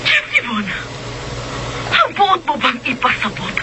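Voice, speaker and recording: High-pitched, desperate lady senator. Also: wildly swooping pitch, a little slow, heavily acted. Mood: anxious, pleading. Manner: melodramatic, volatile. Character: desperate, bossy corrupt woman senator. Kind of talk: radio drama acting in Cebuano. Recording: noisy radio drama, music bed.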